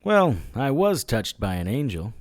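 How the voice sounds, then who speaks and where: Wisecracking private eye in a radio play, lowish voice that moves around a lot, sarcastic, super dry close sound. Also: male voice, very animated, a little slow, dry recording. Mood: wry, defiant. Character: wisecracking male private investigator hero, defiant. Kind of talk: audio drama acting.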